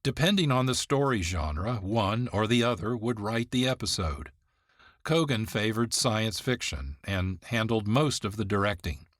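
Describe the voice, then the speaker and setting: A man's voice: lowish voice, lively intonation, unhurried, fairly bright tone, no echo, clean studio sound. A man narrating the history of an old radio series as the host. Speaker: program host. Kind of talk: scripted narration.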